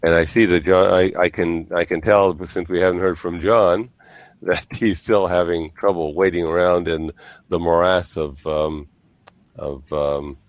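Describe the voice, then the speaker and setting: A man with a low voice talks in a measured, halting manner, as the host of a casual online discussion. He explains things step by step, and the sound is dry, with hardly any room in it. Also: fairly even intonation, dry recording, highs cut off. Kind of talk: casual, explanatory live-stream chat.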